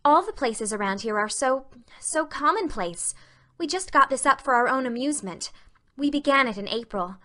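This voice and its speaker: An imaginative young girl of an audiobook drama as a woman performs her. Her voice is high and bright. She speaks with breathless, romantic intensity and a streak of fearfulness. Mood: earnest, dreamy. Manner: breathless, impassioned, romantic storytelling. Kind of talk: dramatic audiobook character reading.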